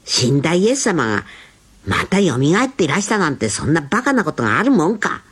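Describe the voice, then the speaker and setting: A woman's voice: low-pitched, very animated, fast, slightly rough, heavily acted. A woman voicing a stubborn, doubting male disciple who talks in a scoffing, insistent way.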